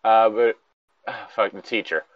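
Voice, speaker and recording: A man with a lowish voice talks casually and swears freely, as a co-host reading and riffing. The sound is dry and a little dull, like a lo-fi home microphone. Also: steady tone, moderate pace, dry recording. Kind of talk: casual joking chat with some read-aloud.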